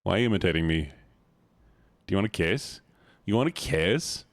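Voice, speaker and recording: Goofy deep-voiced man streaming. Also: expressive, moderate pace, dry recording. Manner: goofy, playful, laughs often. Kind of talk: casual livestream chat.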